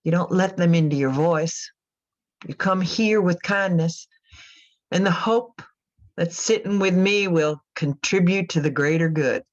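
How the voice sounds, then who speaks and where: A woman acting in a radio drama as an anguished, guilt-ridden mother in prison, speaking in a halting, confessional way. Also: lowish voice, highs cut off, close to an everyday voice. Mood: wry, moved. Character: guilt-ridden imprisoned mother who killed her daughters.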